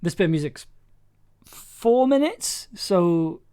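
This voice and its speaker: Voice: mid-range voice, animated, slow pace, warm tone, clean tone. A streamer chatting casually while editing audio, thinking aloud in a self-deprecating way. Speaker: livestream host, audio drama producer. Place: indoors at a computer, streaming.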